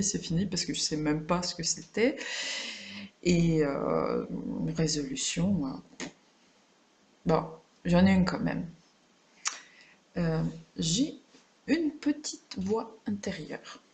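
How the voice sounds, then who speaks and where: A woman talks off the cuff to her vlog viewers. Her voice sits a little low for a woman and crackles with fry. She is reflective and gently self-deprecating, searching for words as she goes. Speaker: craft vlogger. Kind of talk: casual, unscripted personal vlog chat.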